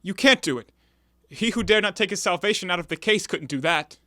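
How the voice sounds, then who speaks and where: A man's voice: sits high, animated, a little fast, pushed and bright, no echo, studio quality. A man in an audio play voicing a sly, defiant, cornered ex-convict in terse, formal stage diction. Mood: taunting.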